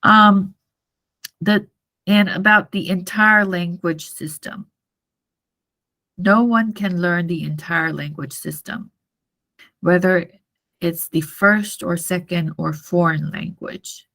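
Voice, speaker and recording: Woman, native Mandarin speaker, university lecturer. Low register with vocal fry, halting and repetitive classroom explanation. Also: fairly bright tone. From Taiwan. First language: Mandarin Chinese. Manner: halting, repetitive, checks understanding often.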